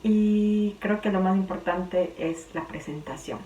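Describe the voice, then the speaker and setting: A young woman from Ecuador with a Quito accent, talking chattily in a personal vlog and sometimes pausing to search for words. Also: medium pitch, over music. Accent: Quito Ecuadorian Spanish. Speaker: vlogger. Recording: roomy, distant, faint background hum.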